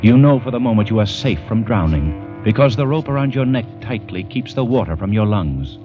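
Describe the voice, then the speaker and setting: A man acts out a grave, intense narrator from an old radio thriller. The voice is low and highly animated in its deliberate phrasing. Music plays prominently behind it. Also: natural voice. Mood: grave, suspenseful. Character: grave second-person narrator of a condemned man's ordeal. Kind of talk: 1940s-50s radio drama narration. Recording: vintage radio, dull, loud music behind.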